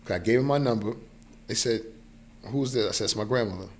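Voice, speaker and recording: A man's voice: lowish voice, fast talker, a little hiss or hum. A man in his forties with a New York accent, telling a personal story casually as a podcast guest, often checking in with the listener. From New York, United States. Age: 40s. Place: small indoor podcast studio. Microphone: close podcast mic on boom arm.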